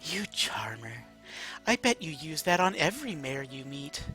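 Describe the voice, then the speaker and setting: Casting: silky, high-voiced lady mayor, played by a man, genteel and flirtatious, storybook narration style. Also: sing-song, measured pace, sharp tone, soft background music, exaggerated voice. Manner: genteel, formal, drawling. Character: silky, flirtatious female mayor, a nonhuman creature.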